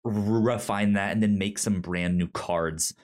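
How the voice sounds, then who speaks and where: Male podcast co-host, slow and low, stuttering on syllables, easygoing casual review chat. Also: fairly even intonation, a little mellow. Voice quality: frequent stutter, repeating sounds and syllables.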